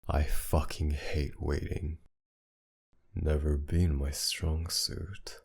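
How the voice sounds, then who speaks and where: A man playing a flirtatious, possessive villain in an intimate ASMR roleplay, teasing in a gravelly, breathy drawl that often sinks toward a whisper. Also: rumbling low, little pitch movement, slow, a little mellow, slight background noise, heavily acted. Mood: impatient, flirtatious.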